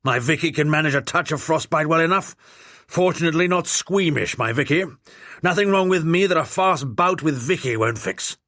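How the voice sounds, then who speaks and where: A man's voice: on the high side, normal speed, fairly bright tone, dry recording, studio quality, pitched above the performer's own voice, lightly acted. A man reading aloud voices a blustering, bullying old English lord who barks commands and takes offense. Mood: boastful. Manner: imperious, indignant, commanding.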